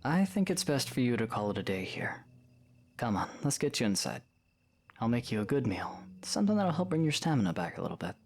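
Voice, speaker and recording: A man plays a young adventuring hero in an audio drama. He has a mid-range voice with lively intonation and a casual, gentle way of talking. He comes across as caring and a little awkward. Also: medium pitch, fairly quick. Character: young male hero, considerate, gently encouraging, slightly awkward. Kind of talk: fan audio drama acting.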